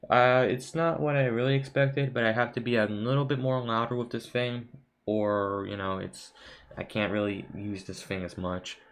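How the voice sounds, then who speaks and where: A man's voice: medium pitch, flat delivery, moderate pace. A young man with an American accent gives casual, rambling, offhand commentary to his viewers. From United States. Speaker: video creator. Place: room at home.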